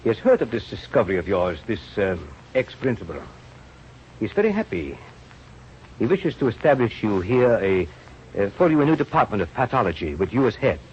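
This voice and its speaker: A muffled, noisy vintage radio recording carries a lowish-voiced elderly scientist. He is a kindly, rueful mentor who chooses his formal words slowly and haltingly. The delivery is that of classic radio drama. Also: sing-song, darkish tone. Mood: hesitant, grave. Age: older. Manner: halting, deliberate, formal phrasing. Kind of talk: 1930s-40s radio drama acting.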